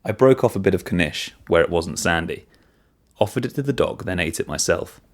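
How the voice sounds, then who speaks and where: A man reading a first-person short story as narrator, in an expressive storytelling manner. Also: sits low, normal speed.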